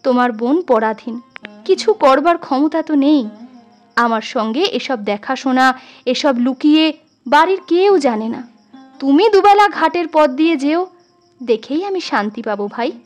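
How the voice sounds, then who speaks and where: Storybook elder sister-in-law, sentimental and affectionate, high feminine voice over soft music performed by a female reader. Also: expressive, measured pace. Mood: pleading, hopeful. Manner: affectionate, emotional, coaxing. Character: tender, doting elder sister-in-law, sentimental village woman.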